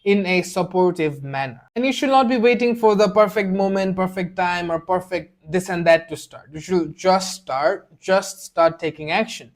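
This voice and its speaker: A young man whose first language is Nepali, giving a blunt, earnest motivational talk to the camera and repeating phrases for emphasis. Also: high voice. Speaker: self-improvement video creator. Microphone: camera mic, speaking to camera.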